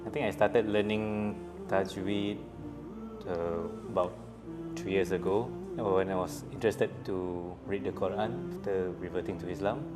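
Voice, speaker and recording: A man in his late twenties with a Malaysian accent, speaking calmly as a quiz contestant. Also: low-pitched, measured pace. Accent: Malaysian English. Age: late 20s. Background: background music.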